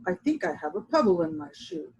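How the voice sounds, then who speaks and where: A woman's voice: fairly low pitch, sing-song, fairly quick, darkish tone, husky, exaggerated voice, low-quality recording. A woman voicing an anxious, doting male suitor in a children's storybook, fretful and polite, repeating himself. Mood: polite, hushed.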